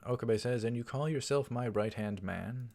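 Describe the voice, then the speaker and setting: A man's voice: mid-range voice, normal speed, creaky, a little mellow. A man doing a let's play, reading visual novel dialogue and narration aloud and adding casual asides. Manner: narrates each line with dialogue tags and aside remarks.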